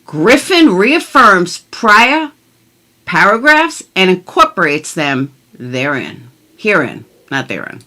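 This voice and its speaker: Casting: middle-aged female commentary vlogger, bright forceful voice, animated pitch swings, blunt and emphatic, casual delivery. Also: takes it slowly. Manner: emphatic, blunt, swears freely. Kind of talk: casual chat and mock-dramatic reading of legal documents.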